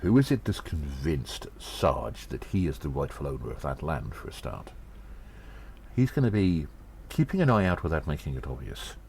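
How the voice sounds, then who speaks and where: A man playing an earnest undercover gnome agent in an audio drama, reporting in a measured, halting, formal and conspiratorial way. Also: rumbling low, sing-song, darkish tone, a little hiss or hum, exaggerated voice. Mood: thoughtful, conspiratorial. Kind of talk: first-person audio drama monologue, spoken report.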